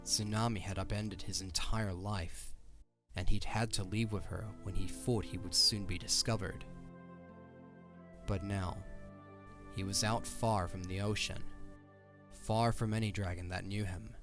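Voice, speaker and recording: Male narrator, deep voice, scripted storytelling, fantasy audio drama, soft music behind. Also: steady tone, measured pace, pauses often.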